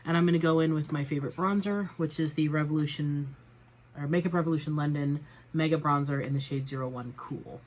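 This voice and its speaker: A woman talks casually through her makeup routine in a low-pitched voice, a little raspy as she gets over a cold. She narrates each step in a chatty, self-deprecating way. A faint noise sits under the recording. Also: steady tone, brisk, a little mellow. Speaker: beauty vlogger. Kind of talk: casual makeup tutorial chat.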